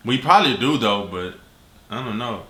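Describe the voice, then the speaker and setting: A man's voice: medium pitch, animated, moderate pace, bright, forceful tone. A man with a mid-range voice co-hosts a reaction video. His talk is casual and slangy, with laid-back interjections. The room sounds noticeably echoey. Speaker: reaction channel co-host. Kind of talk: casual hype chat. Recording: roomy, echoey indoor sound.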